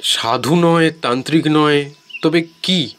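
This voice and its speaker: A man's voice: on the high side, slow pace, fairly bright tone, long reverb tail, a little hiss or hum. An actor playing a curious, puzzled man who listens to a ghost story and questions it, in an audio drama.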